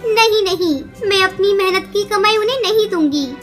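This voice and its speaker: A woman's voice: extremely high pitch, moderate pace. A woman voicing a young orphaned girl bird in a cartoon, with a childlike, earnest manner. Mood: greedy, scheming. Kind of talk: Hindi cartoon dialogue.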